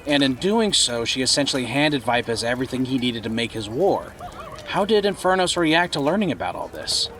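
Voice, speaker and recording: An inquisitive, mid-pitched listener. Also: male voice, very animated, a bit bright. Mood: curious. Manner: inquisitive, measured. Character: curious, attentive listener questioning a storyteller. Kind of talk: fantasy audio drama acting.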